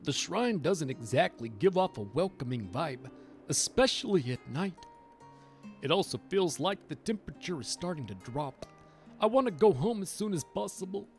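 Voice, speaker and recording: A man's voice: a little high, very animated, moderate pace, faint music behind. A man streaming a visual novel, reading aloud theatrically and adding crude, casual asides.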